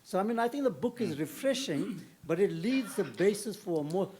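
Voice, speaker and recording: An older man from South Africa asking the panel a long question from the audience, halting and full of fillers. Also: sits high, expressive, a little mellow, a little hiss or hum. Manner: halting, with many fillers and restarts. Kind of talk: question from the floor at a panel discussion.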